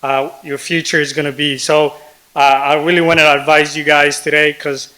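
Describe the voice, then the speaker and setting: Bright, even-toned man giving halting advice. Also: fairly high pitch, poor audio. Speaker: guest speaker, professional. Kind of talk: informal advice speech to students.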